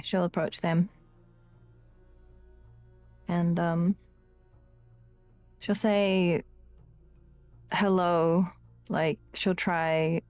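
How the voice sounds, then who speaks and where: A woman playing a tabletop roleplaying game, describing her character's actions with hesitant pauses. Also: fairly low pitch, a little mellow, dry recording. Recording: music playing behind the voice. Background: music.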